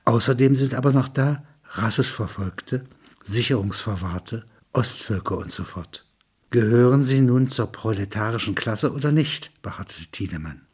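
A man reading scripted literary prose in a measured, sober manner, with a husky, rough-edged voice. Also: mid-range voice, expressive, darkish tone. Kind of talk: scripted narration of a literary audio piece.

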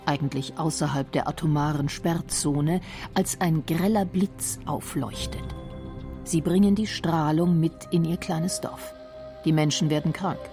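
A woman narrating a radio documentary script in a measured, explanatory manner. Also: low voice, warm tone.

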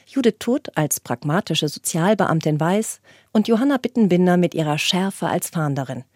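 Female radio reviewer, scripted and articulate; lowish, soft-toned voice, dry studio sound. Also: expressive, normal speed, no room sound. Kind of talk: scripted narration, cultural review. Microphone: close studio microphone.

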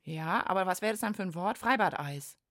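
A woman hosting a playful children's science radio show, speaking directly to young listeners in an animated way. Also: on the low side, fairly quick, no echo, clean studio sound.

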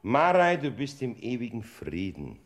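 An actor playing Saint Peter as a fussy but kindly older heavenly gatekeeper, with a Bavarian accent, in a classic radio play. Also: male voice, mid-range voice, wildly swooping pitch, unhurried. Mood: soothing. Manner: soothing, turns flustered and bossy.

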